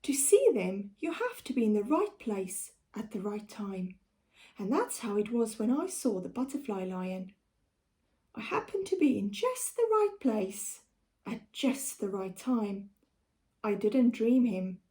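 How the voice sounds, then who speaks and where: Female storybook reader, South African accent, mellow voice with very lively intonation, unhurried and deliberate. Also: medium pitch. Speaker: primary school teacher. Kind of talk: storybook read-aloud for children.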